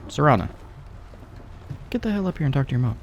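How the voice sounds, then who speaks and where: A man's voice: mid-range voice, sing-song, fast, gravelly, dull audio, a little hiss or hum. A young man giving rambling, laid-back, sweary let's play commentary as he games. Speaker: gaming YouTuber, let's play host.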